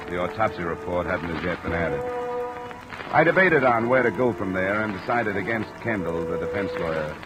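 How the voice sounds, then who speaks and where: An actor playing a cool, probing insurance investigator in a 1950s radio drama, measured, dry and matter-of-fact. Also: male voice, deep voice, over music. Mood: dry, reflective. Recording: old radio recording under loud rain noise. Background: steady rain sounds.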